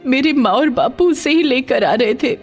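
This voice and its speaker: A woman voicing a distressed, caring orphan village girl in an animated moral story, pleading and emotional. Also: high voice, normal speed, slightly rough, faint music behind, voice pushed up, exaggerated voice.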